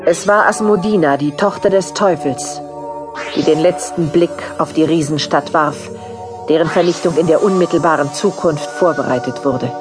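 A woman's voice: low voice, moderate pace, over music, close to an everyday voice. A woman narrating a horror radio play in a dramatic, scripted style.

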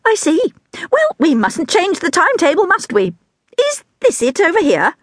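A woman voicing a cheerful, scatterbrained young woman teacher with a high, sing-song manner. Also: wildly swooping pitch, normal speed, dry recording, clean studio sound, full character voice. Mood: bubbly.

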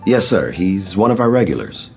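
A courteous restaurant waiter in a radio play. His low voice is formal yet lively in pitch. Faint music is behind him. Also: moderate pace, vocal fry, full character voice. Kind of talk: audio drama acting.

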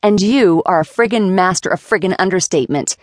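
A woman reads the part of a fiery young heroine whose voice jumps up high and races when she is annoyed. Her indignation tumbles out quickly and sharply. She sounds easily flustered, a storybook character in full protest. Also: very animated, dark tone, no room sound, clean studio sound. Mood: indignant. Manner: high-pitched, quick and exasperated.

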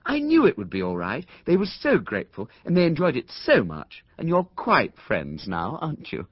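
A man's voice: a little high, huge pitch swings, normal speed, slightly rough, no room sound, muffled recording, voice pushed up, full character voice. A man voicing a fussy, kindly, oblivious mother who soothes and gushes, in a story reading. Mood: fluttering. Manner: gushing, soothing.

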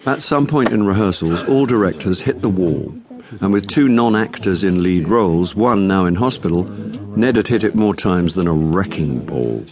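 A low-voiced, middle-aged English stage actor, worn and wry. He tells his story in a radio drama with deadpan, self-mocking wit. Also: moderate pace, a crowd in the background. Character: weary, wry, hard-drinking middle-aged male actor. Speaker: lead actor and narrator. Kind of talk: radio drama narration and dialogue.

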